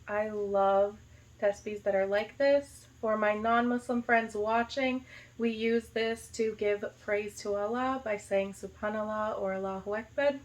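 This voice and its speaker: A young woman from the United States excitedly unboxing a gift box in a casual vlog, gushing and dropping lots of "like". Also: mid-range voice, measured pace. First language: not Arabic. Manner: gushing, enthusiastic, lots of "like" and "so cute". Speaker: vlogger, high school teacher.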